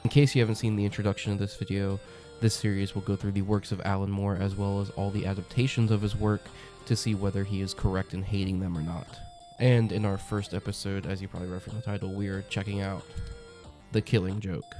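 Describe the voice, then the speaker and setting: A man's voice: on the low side, level tone, fast, warm tone, faint music behind. A young man hosting a comic review channel, congested from a cold, talking in a chatty, enthusiastic way with lots of ums and uhs. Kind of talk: casual review monologue.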